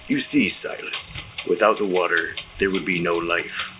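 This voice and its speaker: An actor playing a solemn older sea captain in an audio drama, speaking in formal, old-fashioned, poetic phrases. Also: male voice, deep voice, steady tone, moderate pace, background noise, natural voice. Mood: wistful, solemn. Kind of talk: period audio drama acting.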